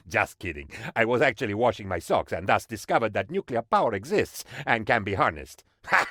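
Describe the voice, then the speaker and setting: An actor playing an eager, bumbling, cheerfully shameless aide to a dictator in a video game, with a theatrical, mock-official delivery. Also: male voice, mid-range voice, fairly bright tone, dry recording, clean studio sound. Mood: joking. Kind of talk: comic video game voice acting, reporting to his leader.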